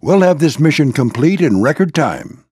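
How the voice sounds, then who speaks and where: An older American man voicing a proud, gruff old military plane who mentors a squadron in a video game, with a gravelly voice and a commanding, folksy manner. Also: on the high side, big pitch swings, moderate pace, a little mellow, no echo, clean studio sound. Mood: encouraging, approving. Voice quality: gravelly, husky. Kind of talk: scripted video game character lines.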